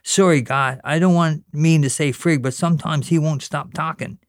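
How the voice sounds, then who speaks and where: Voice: steady tone, normal speed, no echo, clean studio sound, natural voice. A reader voicing an earnest young boy, pleading and questioning as he prays and bosses his little brother around. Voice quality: high, childlike, pleading. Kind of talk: reading fiction aloud.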